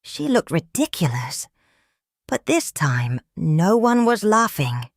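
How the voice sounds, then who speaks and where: Lady reading kids storybook, calm and slow, lowish voice, really dry close sound. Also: dry recording. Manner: calm, measured, expressive storytelling. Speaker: storyteller reading a picture book aloud. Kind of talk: children's read-aloud narration.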